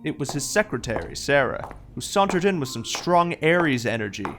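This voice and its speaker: A man's voice: on the high side, sing-song, a little fast. A man narrating a comedic story from a script in a droll, tongue-in-cheek way. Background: music.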